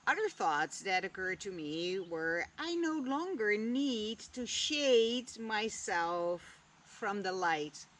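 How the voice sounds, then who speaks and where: Dutch-born woman musing out loud super slowly, lots of pauses, candid and a bit sweary. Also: medium pitch, expressive. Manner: slow, deliberate, musing with long pauses; candid, drops casual swearing. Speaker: spiritual vlogger. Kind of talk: reflective personal talk.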